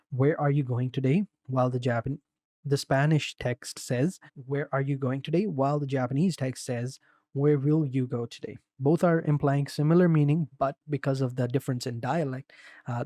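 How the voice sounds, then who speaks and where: A man casually walking viewers through a software tutorial, rambling and relaxed, often saying "go ahead". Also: medium pitch, no echo, clean studio sound. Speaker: tutorial presenter. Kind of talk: casual screen-recording walkthrough.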